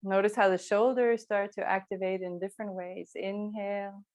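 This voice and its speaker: A woman teaching yoga, giving calm, unhurried and gently encouraging guidance through movement and breathing. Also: medium pitch. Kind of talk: guided slow movement and breathing instruction.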